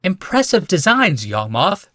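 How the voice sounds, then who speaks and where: A man's voice: sits high, huge pitch swings, a little slow, a bit bright, dry recording, studio quality, pitched above the performer's own voice, exaggerated voice. A man voicing a refined, impressed woman in audiobook dialogue, emphatic and full of wonder. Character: refined women, an impressed elder and an awed wife.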